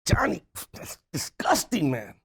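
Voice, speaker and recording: A man's voice: fairly high pitch, huge pitch swings, measured pace, slightly rough, heavily acted. A man playing a brash, bossy Italian-Cuban buddy in a comedy table read, scolding and exclaiming. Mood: disgusted, bossy.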